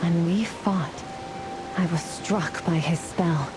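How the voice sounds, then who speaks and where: A woman's voice: lowish voice, slow pace, background noise. A woman playing a resolute celestial fire warrior in a fantasy game, speaking in a grave, formal, declamatory way. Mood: reflective. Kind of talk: scripted fantasy game voice acting. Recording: music and rain behind the voice. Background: music, rain.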